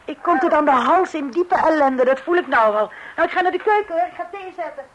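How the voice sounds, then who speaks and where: A woman's voice: high voice, lively intonation, brisk, muffled recording. An actress in a scripted radio comedy playing a long-suffering, sceptical housewife and mother with a wry, theatrical manner. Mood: exasperated. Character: exasperated, sceptical middle-aged housewife and mother. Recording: hissy old radio play recording.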